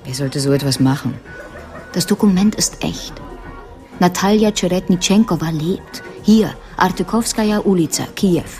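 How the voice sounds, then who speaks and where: A woman's voice: on the low side, moderate pace, darkish tone, faint music behind, natural voice. An actress playing a resolute, sardonic woman in a radio crime drama, speaking in a matter-of-fact, pointed way. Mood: pointed, grave. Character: sardonic, resolute woman, lawyer's former lover.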